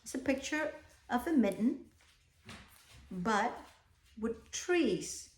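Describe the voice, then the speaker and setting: A woman reading a children's picture book aloud expressively, often pausing to ask her young listeners questions. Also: fairly high pitch, sing-song. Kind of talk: children's read-aloud, alternating slow reading with asides about the pictures. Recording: echoey, roomy sound.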